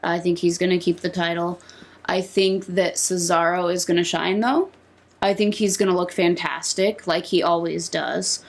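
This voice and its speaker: A woman's voice: on the low side, steady tone, moderate pace. A woman co-hosting a casual panel chat about wrestling predictions. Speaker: co-host of a wrestling predictions show.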